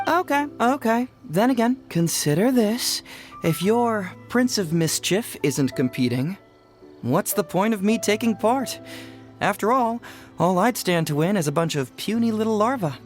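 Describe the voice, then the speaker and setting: A man's voice: high-pitched, animated, a little slow, soft background music. A man voicing a sly, scheming young detective in a video game, persuasive and coaxing.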